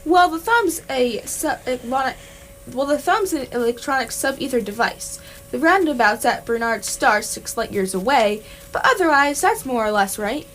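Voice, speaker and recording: A woman playing a calm, practical alien hitchhiker in an amateur radio play. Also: sits high, normal speed. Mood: calm, matter-of-fact. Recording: clear background noise.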